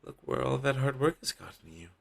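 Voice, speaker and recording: A man playing a devoted, apologetic talent agent in an audio roleplay, earnest and hesitant, with a husky, gravelly voice on a low-quality amateur recording. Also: expressive, brisk, fairly bright tone, heavily acted. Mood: sincere, fond. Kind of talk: scripted audio roleplay, intimate one-sided dialogue.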